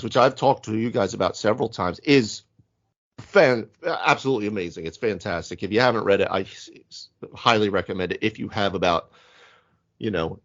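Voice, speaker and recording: A man co-hosting a casual gaming podcast, rambling emphatically with plenty of hyperbole. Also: fairly low pitch. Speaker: podcast co-host, game master. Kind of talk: casual tabletop gaming discussion. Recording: dull lo-fi audio.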